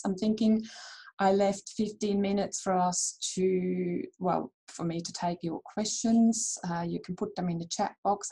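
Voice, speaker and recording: Woman webinar presenter from Switzerland, warm low-key timbre, friendly and chatty with restarts. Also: low-quality recording. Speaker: herbal dispensary founder presenting a webinar.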